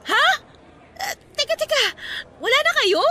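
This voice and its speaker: Masiglang dalagang ikakasal, matinis ang boses. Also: female voice, very high-pitched, unhurried, a little hiss or hum, heavily acted. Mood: shocked, concerned. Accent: Filipino. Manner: animated, exclaiming, colloquial. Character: chatty, expressive young bride-to-be and close friend. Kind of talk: Filipino radio drama acting.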